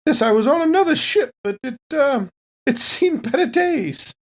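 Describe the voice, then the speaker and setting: A man's voice: extremely high pitch, expressive, moderate pace. A man voicing a genteel, scatterbrained lady android tourist in a tabletop game, polite and rambling. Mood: flustered, chatty. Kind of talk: tabletop role-play acting.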